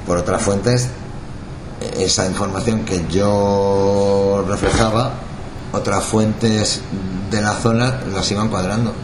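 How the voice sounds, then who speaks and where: A middle-aged man giving a documentary interview as a retired intelligence agent, speaking haltingly with many restarts and fillers. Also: fairly low pitch, fairly even intonation. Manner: halting, restarts words, frequent filler "o sea". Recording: echoey room with background noise.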